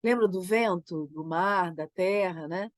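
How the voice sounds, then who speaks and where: A woman's voice: on the low side, no echo, studio quality. Brazilian woman getting over a cold. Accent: Brazilian Portuguese. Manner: thoughtful, halting, frequent "né?" tags. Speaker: theater creator. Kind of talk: reflective conversation.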